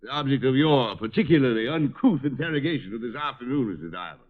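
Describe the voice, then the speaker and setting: A man's voice: medium pitch, animated, fast talker, natural voice. An actor playing a pompous, indignant male antique collector with florid speech in an old-time radio detective drama. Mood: haughty outrage. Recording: muffled vintage radio recording.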